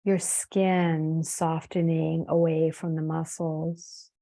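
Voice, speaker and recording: Very slow, mellow woman guiding meditation. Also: deep voice, little pitch movement, creaky. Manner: soothing, unhurried, long pauses. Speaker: yoga nidra and meditation teacher. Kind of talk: guided meditation.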